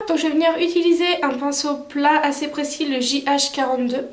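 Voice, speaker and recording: Girl with a cold chatting through her makeup, kinda high voice, echoey room. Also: a little fast. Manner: chatty, talks through each step with many fillers. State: stuffy with a cold. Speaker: beauty vlogger. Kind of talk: makeup tutorial chat.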